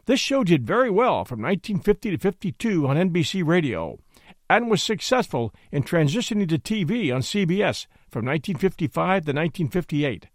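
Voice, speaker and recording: A man's voice: fairly high pitch, very animated, brisk, dry recording. A man hosting a podcast, reading a show introduction close to the microphone. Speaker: podcast host.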